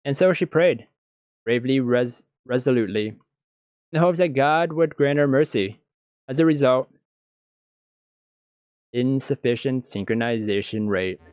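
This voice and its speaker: A man reading visual novel text aloud as scripted narration, with a deliberate, dramatic storytelling delivery. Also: mid-range voice, darkish tone, smooth, dry recording, dull audio.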